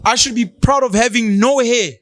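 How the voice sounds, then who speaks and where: A man in his thirties with a South African accent, animated and mocking as he co-hosts a casual talk show, sometimes slipping into isiZulu. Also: extremely high pitch, moderate pace. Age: 30s. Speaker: podcast co-host. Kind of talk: opinionated casual chat.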